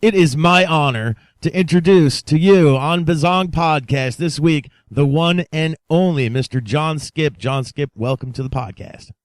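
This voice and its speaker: A man's voice: fairly high pitch, a little slow, few pauses, no echo. Effusive male podcast host, very dry sound. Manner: effusive, showman-like, addresses listeners directly. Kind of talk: enthusiastic podcast introduction and interview.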